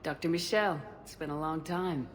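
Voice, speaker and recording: A woman's voice: low-pitched, moderate pace, natural voice. A woman playing a composed, direct military commander in video game dialogue. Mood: composed, inquiring.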